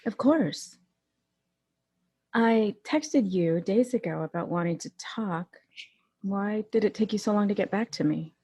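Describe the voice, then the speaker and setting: A woman's voice: mid-range voice, expressive, unhurried, long pauses, mellow, husky. A woman acting in an audio drama as a persistent young mother who wants her ex back, speaking insistently and intimately. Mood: casual. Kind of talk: naturalistic scripted audio drama. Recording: music playing under the voice.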